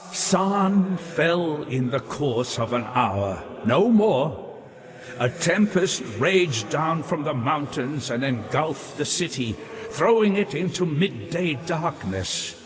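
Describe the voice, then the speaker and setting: An actor playing a weary, melancholy scholar for a video game, speaking in measured, theatrical, literary phrases with a wry, reflective air. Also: male voice, medium pitch. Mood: grave, haunted. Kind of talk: scripted video game character dialogue. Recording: long hall reverb, faint noise.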